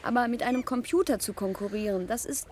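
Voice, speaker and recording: Teenage girl played by a woman, high voice, dreamy but accusing daughter, radio play, faint ambience noise. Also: fast talker, darkish tone, lightly acted. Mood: reproachful. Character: reproachful, dreamy teenage daughter, no longer a child. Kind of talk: radio drama acting.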